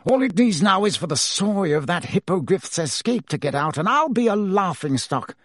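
A man's voice: high-pitched, animated, moderate pace, fairly bright tone, dry recording, studio quality, voice pushed up. A man voicing a blustering, fretful male government minister who barks and puffs. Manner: blustering and barking.

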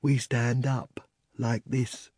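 A lumbering, simple-minded bear from a children's story. The voice is dark and very unhurried, placid and ponderous. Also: male voice, medium pitch, little pitch movement, no room sound, clean studio sound. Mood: slow, dubious.

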